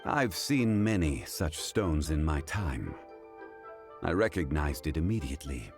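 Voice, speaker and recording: Brief: a calm, formal know-it-all elder, low voice, lively intonation. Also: male voice, heavily acted. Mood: composed. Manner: measured, composed, formal. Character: calm, learned man, an ancient expert. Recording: game audio with background music. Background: game music.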